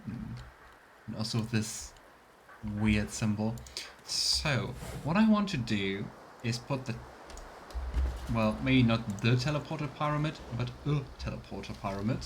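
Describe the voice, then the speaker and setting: A man's voice: mid-range voice, expressive, unhurried, a little hiss or hum, low-quality recording. A man giving casual let's play commentary, thinking aloud and murmuring to himself as he plays. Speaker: streamer playing a fantasy role-playing game. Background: game music.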